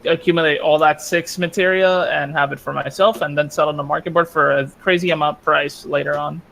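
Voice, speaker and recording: A man's voice: on the high side, fairly even intonation, fairly quick, vocal fry, sharp tone. A man chatting casually as he plays an online game with friends. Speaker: co-player. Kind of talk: casual gaming chat.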